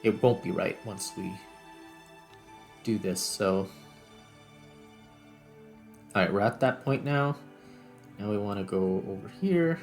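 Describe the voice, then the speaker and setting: A man with a fairly low voice talks through a video game in a casual way, thinking aloud step by step. Music plays behind him. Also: takes it slowly, vocal fry, long pauses, a little mellow. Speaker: gaming video host. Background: background music.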